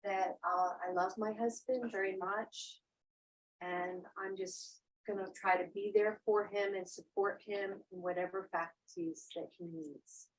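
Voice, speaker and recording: A middle-aged American woman giving halting, hesitant testimony as a witness in a courtroom. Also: medium pitch, steady tone, poor audio. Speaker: witness, defendant's wife.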